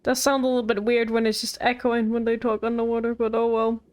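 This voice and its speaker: High-voiced woman streaming a game. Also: monotone, fast, darkish tone. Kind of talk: casual live gameplay commentary.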